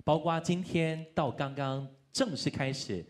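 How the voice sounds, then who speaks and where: A man's voice: a little high, measured pace. A man with a Taiwanese Mandarin accent hosting a live event in an enthusiastic, encouraging way. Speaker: ceremony host.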